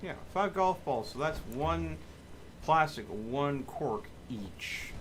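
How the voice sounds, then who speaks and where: A man's voice: fairly high pitch, lively intonation, slow, fairly bright tone. A man giving casual live commentary as he streams a video game. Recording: clear background noise.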